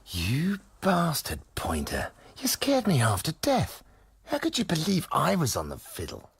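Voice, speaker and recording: A sardonic, excitable drama lecturer in a radio comedy. His voice is very hoarse and breathy and swings wildly in pitch. The delivery is theatrical and indignant, taken at an unhurried pace. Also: exaggerated voice. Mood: shaken, indignant. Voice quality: raspy, breathy, creaky. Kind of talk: comic radio play acting.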